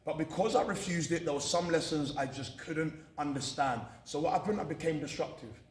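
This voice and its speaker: A man's voice: fairly high pitch, a little fast. British man speaking in an echoey room. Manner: candid, emphatic, repeats phrases for effect. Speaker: motivational speaker at a college. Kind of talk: personal motivational talk to students. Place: indoor room at a college. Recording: echoey room from far off, faint noise.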